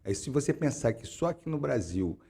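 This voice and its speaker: Man, 60s, interview guest, dark husky tone, rambles with many restarts. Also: medium pitch, big pitch swings, a little fast. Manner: rambling, frequent "né?" tags and restarts. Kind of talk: conversational interview.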